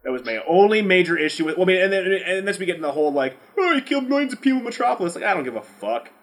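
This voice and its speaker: Foul-mouthed man with a bright, forceful voice. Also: high-pitched, very fast. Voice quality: profane, blunt talk. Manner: rambling, animated ranting with mimicked quotes. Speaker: podcast co-host. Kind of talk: casual movie debate.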